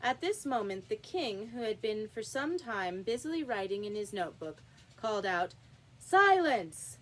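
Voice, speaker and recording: Expressive woman reading a storybook aloud. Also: mid-range voice, normal speed, rough recording. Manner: emphatic, acts out character dialogue. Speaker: storybook reader. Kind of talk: expressive read-aloud of a children's classic.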